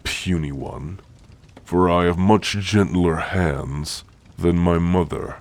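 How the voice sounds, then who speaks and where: A man voicing a stern, gruffly caring orc warrior-healer in an ASMR roleplay, speaking in a formal, commanding way. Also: a bass voice, full character voice. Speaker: roleplay voice actor.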